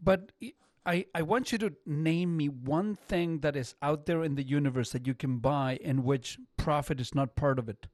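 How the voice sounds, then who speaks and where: A man in his fifties hosting a radio talk show, English not his first language, talking in an animated way and often repeating words as he starts a sentence. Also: a little high, normal speed, no echo, studio quality. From not Canada.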